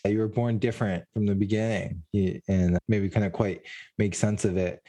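Deep, mellow-voiced male podcast host. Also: fairly even intonation, moderate pace. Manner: curious, supportive questioning. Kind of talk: casual interview conversation.

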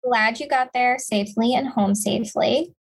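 Breathy woman reacting in casual chat. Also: a little high. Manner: agreeable, quick interjections and reactions. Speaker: podcast co-host.

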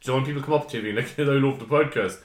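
A man's voice: mid-range voice, rapid-fire, slight background noise. Young man, podcast host, rambling, swearing, echoey room. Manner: swearing, rambling, laughing often. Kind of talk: casual chat. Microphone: podcast mic.

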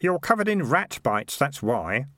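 A man's voice: medium pitch, very animated, a little slow, fairly bright tone, dry recording, clean studio sound, pitched above the performer's own voice. A man voicing Keith, an earnest, mild young man who talks in an eager, worried way.

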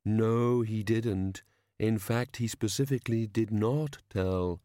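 A man's voice: lowish voice, mellow, no echo, studio quality. Man voicing cautious, pedantic robed spirit bureaucrat. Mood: pedantic, bickering. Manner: clipped, officious, deliberate.

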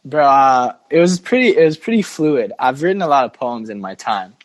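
A man's voice: mid-range voice, lively intonation, moderate pace, no echo. A man hosting a casual sports podcast with jokey, slangy banter. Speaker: podcast host.

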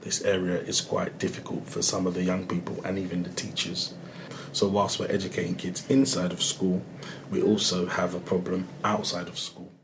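Head teacher guy, deep monotone, matter-of-fact, noisy background. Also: normal speed. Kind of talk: interview.

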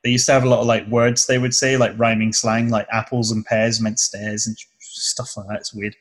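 Young man, southern english accent, comedy creator, chatty, long explanations, mid-pitched. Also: level tone, normal speed. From southern England. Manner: chatty, explaining at length with restarts. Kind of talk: casual interview chat.

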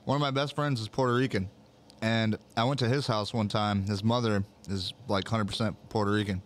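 A man's voice: fairly low pitch, steady tone, a little fast, a little hiss or hum. A young man from Michigan hosting a casual podcast chat, laid-back, swearing easily and trailing off with "um" while he reads live comments.

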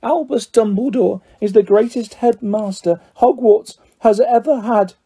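A tiny, anxious house-elf as performed by a male reader pleads in a very high, husky voice. He is servile and deferential, yet stubborn, with a soft mellow edge, straight out of a fantasy audiobook. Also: a little slow, exaggerated voice. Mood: urgent, reverent. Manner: speaks of himself in third person, deferential. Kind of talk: audiobook character voice.

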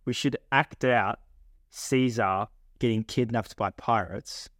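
Australian male co-host, easygoing banter, medium pitch, dry sound. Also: takes it slowly, a bit bright, no echo. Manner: laid-back, joking. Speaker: podcast co-host. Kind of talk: casual chat.

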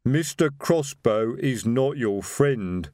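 A man voicing a huge, slow-witted male troll sergeant with a rumbling, plodding delivery. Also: animated, darkish tone, no echo, clean studio sound. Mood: apologetic.

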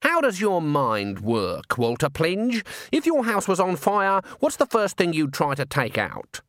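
A man voicing a stern, shrewd old witch woman with a brisk, authoritative manner in a storybook reading. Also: a little high, huge pitch swings, a bit bright, dry recording, studio quality, voice pushed up, full character voice. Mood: dry, probing. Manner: curt, correcting, authoritative.

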